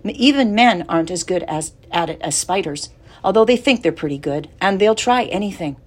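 A woman voicing a wise, calm female spider with a gentle, patient, matter-of-fact manner. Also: on the low side, brisk, breathy edge.

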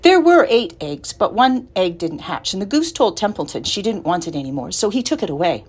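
A woman's voice: medium pitch, very animated, fairly quick, husky. A woman voicing an earnest, chatty young farm girl in a storybook, innocent and matter-of-fact.

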